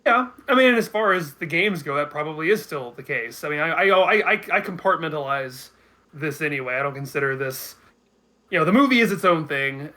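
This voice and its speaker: A man's voice: on the high side, sharp tone. A man speaking as a guest commentator in a casual unscripted discussion, thinking aloud with hesitant starts.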